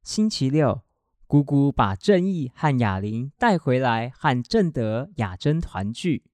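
A man's voice: medium pitch, sing-song, slow, darkish tone, no echo. A man narrating a children's radio drama in scripted storytelling style.

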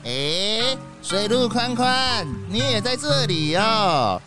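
A woman's voice: fairly high pitch, sing-song, slow, over music. A woman voicing a shifty troupe leader who stammers when caught, in a children's audio drama. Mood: sly. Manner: sly chuckle, stammering.

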